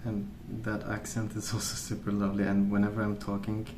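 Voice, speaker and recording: A man speaking softly in a hushed, unhurried ASMR ramble, musing as he goes. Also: fairly low pitch, monotone, roomy sound, noisy background. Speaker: ASMR video host. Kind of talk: soft-spoken ASMR rambling while colouring.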